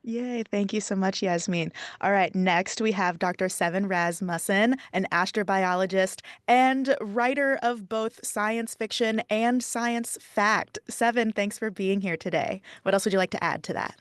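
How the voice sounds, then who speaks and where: A woman's voice: medium pitch, measured pace, hardly any pauses, no echo, clean studio sound. Upbeat woman moderating a panel. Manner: upbeat, friendly, laughs easily. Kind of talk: live online panel moderation.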